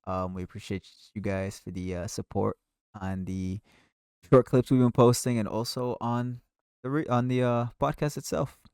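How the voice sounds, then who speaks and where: A man's voice: medium pitch, little pitch movement, moderate pace, a little mellow, clean tone, dry recording, studio quality. A young man hosting a casual podcast, loose and joking with plenty of fillers. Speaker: podcast host.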